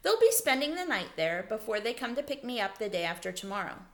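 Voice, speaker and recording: A woman's voice: a little high, very animated, a little fast. A woman reading aloud the part of a shrewd, wary young boy at camp in a children's storybook, questioning and laying out deals. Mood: proposing. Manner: questioning, deal-making.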